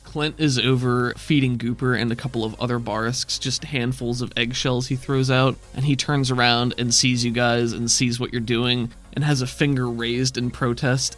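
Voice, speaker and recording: A man narrating as game master, asking the players questions and tossing in joking asides in a relaxed way. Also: mid-range voice.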